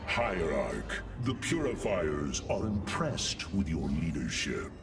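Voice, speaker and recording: A man playing an earnest robotic alien warrior in a video game, speaking formally and respectfully in a gravelly, synthetic voice. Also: very deep voice, big pitch swings, slow, full character voice. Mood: solemn respect. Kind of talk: cinematic video game acting. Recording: distant, long reverb, music behind.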